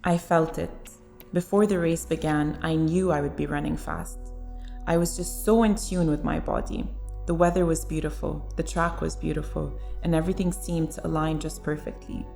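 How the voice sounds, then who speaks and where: A woman's voice: low voice, fairly even intonation, normal speed, gravelly, mellow, faint music behind. A young woman athlete from Lebanon and Liberia, speaking reflectively in a documentary interview with casual fillers. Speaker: sprinter.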